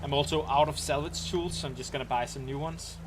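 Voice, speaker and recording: A man's voice: fairly high pitch, brisk, noisy background. A man with a Danish accent casually talking through a game on a live stream, rambling and explaining as he plays, with frequent ums and restarts. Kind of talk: casual live-stream commentary while playing.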